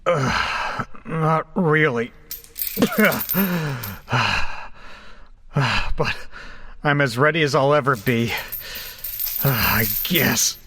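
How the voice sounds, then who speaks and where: A man playing a frightened, battered captive in a horror audio drama, telling the ordeal in the first person with deliberate, dramatic delivery. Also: medium pitch, lively intonation, sharp tone, slightly rough, no echo, exaggerated voice. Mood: nervous, resigned. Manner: deliberate, dramatic storytelling with hesitant, pained dialogue.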